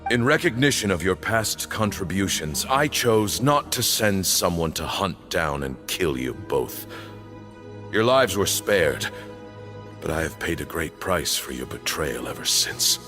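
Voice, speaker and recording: A man playing a stern, bitter temple leader in a video game, speaking in a formal, grave, measured way. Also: low voice, sing-song. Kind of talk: dramatic video game voice acting. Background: background music.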